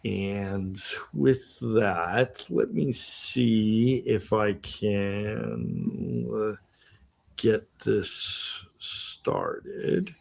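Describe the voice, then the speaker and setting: Male host, low-pitched, loose and halting, lots of ums and restarts. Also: animated, gravelly, darkish tone. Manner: halting, many fillers and restarts. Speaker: TV show host. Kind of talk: casual livestream talk.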